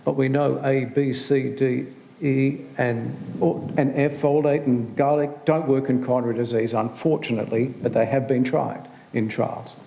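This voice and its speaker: A man, a clinician and researcher, answering on a panel in a measured, explanatory way with frequent restarts. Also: mid-range voice, steady tone, gravelly, mellow, slight background noise. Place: large hall. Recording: echoey hall.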